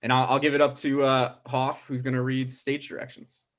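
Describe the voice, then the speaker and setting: A man's voice: mid-range voice, level tone, moderate pace, a bit bright. A man casually introducing a table read as its writer and host, hesitant and informal.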